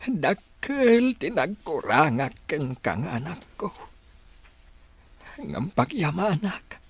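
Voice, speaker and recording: Slow, sorrowful old Ilocano father acted by a man. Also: fairly high pitch, husky, heavily acted. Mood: tearful, pleading. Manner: slow, emotional, pleading. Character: frail, sorrowful old village father near death, longing for his daughter. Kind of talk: Ilocano radio drama acting.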